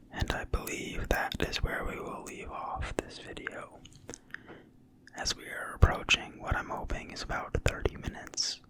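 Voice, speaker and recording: A person reading aloud in a quiet, breathy whisper, slowly and soothingly, in an ASMR style. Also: low-quality recording. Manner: quiet, slow, deliberate, soothing delivery. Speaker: ASMR video creator and reader. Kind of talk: whispered ASMR reading of a fantasy game manual.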